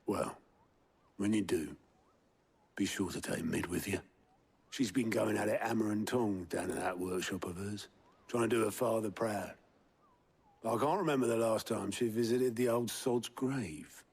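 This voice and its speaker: An actor playing a plain-spoken, teasing working-class comrade in a game, with a British accent and a gravelly, husky, colloquial delivery. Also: male voice, on the low side, measured pace, pauses often, darkish tone. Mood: wry, friendly. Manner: colloquial, wry. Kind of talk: naturalistic game cutscene acting.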